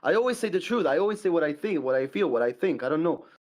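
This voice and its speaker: A young man with an Italian accent defends himself in a heated online debate, speaking English as a second language. His voice sits a bit high, and he stumbles through fillers and restarts as he pushes his point. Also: lively intonation, darkish tone. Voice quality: halting, many restarts and fillers. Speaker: content creator debating.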